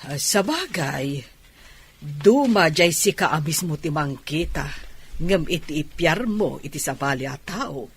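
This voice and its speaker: A woman with an Ilocano accent and a husky voice playing a protective, indignant mother in an audio drama. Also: sits low, lively intonation, a little slow, a little hiss or hum. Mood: caring. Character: protective middle-aged mother, caring and indignant.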